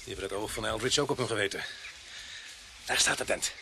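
An actor playing a brisk, sardonic male police sergeant in a radio play, bossy and mocking in manner. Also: fairly low pitch, creaky, bright, forceful tone. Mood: amused. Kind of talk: radio drama acting. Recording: faintly noisy radio play recording.

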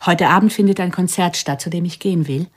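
An actress playing a cool, self-assured female contract killer in a radio play, controlled and sardonic with a husky voice. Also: on the low side, fast talker. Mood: defiant, sardonic. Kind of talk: radio play dialogue and inner monologue.

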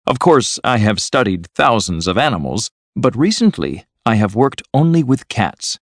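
A man's voice: fairly low pitch, sing-song, moderate pace, no echo, clean studio sound, pitched above the performer's own voice. A man voicing a patient, pompous male inventor lecturing proudly in a storybook reading. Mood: proud, earnest. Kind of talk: storybook character voice.

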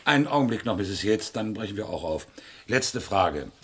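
Police inspector in a crime radio play, raspy n lively pitch, formal, keeps pressing. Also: male voice, moderate pace, full character voice. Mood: probing, polite. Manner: probing, insistent, formal. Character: persistent, courteous but firm male police inspector. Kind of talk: German radio crime drama acting.

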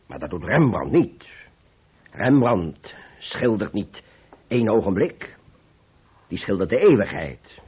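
A gruff but big-hearted old master painter in a radio play. His husky voice swings widely in pitch as he talks bluntly, repeating himself impatiently. The old recording is muffled. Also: very animated, slow, pauses often, full character voice. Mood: earnest, reflective. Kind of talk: Dutch radio play acting.

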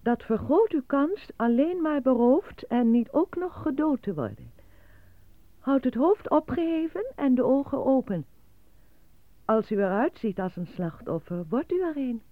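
An official announcer in a radio play, formal and measured. Her high voice has lively intonation, on a dry, phone-like recording. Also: dry recording. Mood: instructive. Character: official female radio announcer reading grim public warnings.

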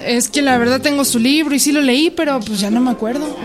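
A woman's voice: high voice, a little fast, natural voice. A woman playing a chatty, modest listener in a radio drama. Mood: coy, chatty.

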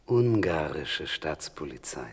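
A man's voice: rumbling low, very animated, slow, breathy edge, long reverb tail, full character voice. An actor playing an officious, curt policeman in his seventies in a radio drama. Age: older. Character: officious elderly state policeman making an arrest.

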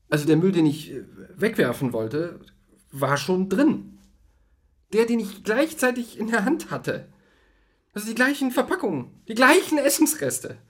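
An actor playing an anxious, self-absorbed city man in a naturalistic radio drama, with an agitated, hesitant delivery. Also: high-pitched.

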